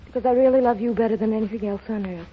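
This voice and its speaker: A woman's voice: fairly high pitch, rapid-fire, slight background noise, natural voice. An actress playing a devoted, headstrong young woman in 1930s radio drama style, earnest and emotional. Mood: earnest, resolute. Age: young adult. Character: devoted, headstrong grown daughter in love. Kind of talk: 1930s American radio acting. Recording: dull lo-fi 1930s radio broadcast.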